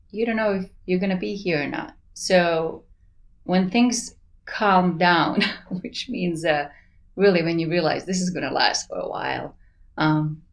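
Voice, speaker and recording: A Bosnian-accented woman in her forties talks earnestly as an interview guest, her voice sitting low with a gravelly fry at the edges. She sounds enthusiastic and chuckles between thoughts. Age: 40s. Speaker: plant pathology researcher, podcast guest. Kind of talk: casual interview conversation.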